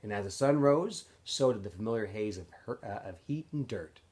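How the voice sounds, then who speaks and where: A man reading a novel aloud as a teacher would for students, in an expressive, animated storytelling way with phrases that often trail off softer. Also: lowish voice, brisk. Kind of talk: expressive audiobook-style read-aloud narration.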